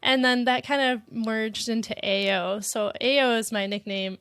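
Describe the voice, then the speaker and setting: A woman in her thirties, born in Spain and raised near Chicago, chatting as a guest, soft-spoken and laughing often. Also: on the high side, steady tone, unhurried, clear, resonant tone, no echo. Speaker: guest illustrator. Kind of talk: casual interview chat.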